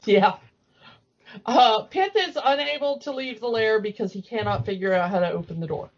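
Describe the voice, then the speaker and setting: A woman with a fairly high voice chats casually as a podcast co-host. She rambles through cartoon recaps in an amused way, and the recording is slightly dull. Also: moderate pace.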